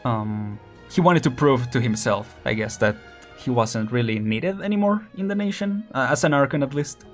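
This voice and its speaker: A man's voice: medium pitch, expressive, normal speed, soft background music. A man chatting casually on a game stream, rambling with many fillers, restarts and small laughs.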